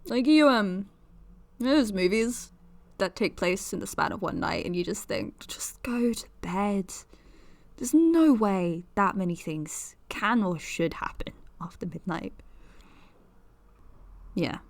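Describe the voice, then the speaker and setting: A woman's voice: medium pitch, very animated, takes it slowly, vocal fry, long pauses, no room sound, exaggerated voice. An actress playing a young woman podcaster who talks in a confiding, nervous, self-deprecating way. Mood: anxious. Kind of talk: audio drama monologue.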